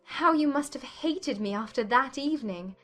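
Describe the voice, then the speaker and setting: A woman playing a witty, candid young gentlewoman in a dramatized classic romance audiobook, polite and gently probing in formal period English. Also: high voice, animated, moderate pace, soft background music. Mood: modest, curious.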